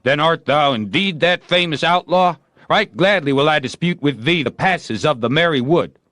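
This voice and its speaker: A man's voice: fairly high pitch, animated, moderate pace, a bit bright, no room sound, studio quality, pitched above the performer's own voice. A man voicing a defiant, stubborn young boy in a storybook, theatrically playing a haughty knight. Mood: haughty, challenging. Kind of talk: acted storybook dialogue.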